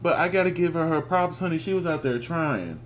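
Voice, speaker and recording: A man's voice: fairly high pitch, lively intonation, fairly quick, a little hiss or hum, low-quality recording. A man from Texas with a Southern accent, co-hosting a casual review chat in a laid-back, chuckling way. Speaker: podcast co-host.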